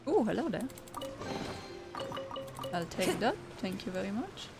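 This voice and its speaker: A woman giving casual commentary as she plays a video game, talking back to it with soft-hearted interjections. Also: medium pitch, very animated, a little slow, vocal fry. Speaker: gamer giving playthrough commentary. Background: game music.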